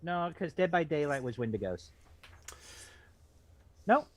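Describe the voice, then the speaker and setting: A man's voice: a little high, big pitch swings, unhurried. A man co-hosting, chiming in with casual banter and commentary on a reading.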